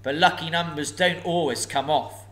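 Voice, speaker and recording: A man voicing a sly, menacing old male dragon, slow and mocking. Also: fairly high pitch, fairly bright tone, voice pushed up, lightly acted. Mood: sneering.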